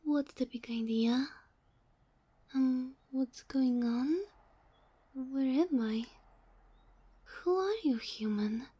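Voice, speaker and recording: A woman voicing a sweet, curious young kitsune fox girl in a soft ASMR roleplay, breathy and often near a whisper, speaking closely to the listener with naive, hesitant wonder. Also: high voice, drawn-out words, long pauses, full character voice. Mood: confused, wary.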